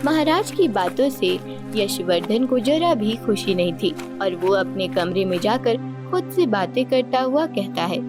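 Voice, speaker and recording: A woman's voice: mid-range voice, animated, unhurried, few pauses. A woman narrating a scripted cartoon story. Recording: music under the voice. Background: background music.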